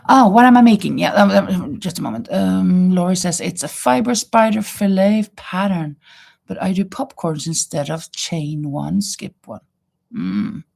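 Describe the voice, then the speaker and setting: Woman, Norwegian accent, slow-paced, creaky, casual livestream host, chatty with viewers. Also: on the low side, expressive, darkish tone. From Norway. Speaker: live stream host, crocheter.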